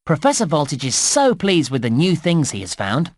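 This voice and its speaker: A man playing an upbeat, encouraging theme park guide, narrating a children's video game in an animated, instructive way. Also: a little high, moderate pace, no echo, clean studio sound. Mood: excited. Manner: animated, exclamatory, instructive children's-entertainer delivery.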